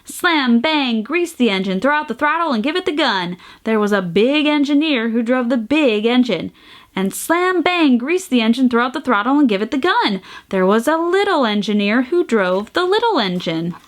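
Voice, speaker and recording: A woman hosting a children's storytime, reading aloud in an animated, sing-song way with exaggerated emphasis and playful sound effects. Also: fairly high pitch, normal speed. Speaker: storytime host reading a picture book aloud.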